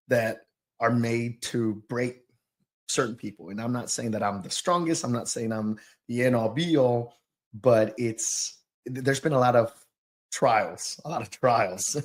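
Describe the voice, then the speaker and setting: A man from southern Mexico telling his personal story as a podcast guest, reflective, a little nervous and often searching for words. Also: mid-range voice. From Oaxaca, southern Mexico. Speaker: guest, youth soccer coach and business owner.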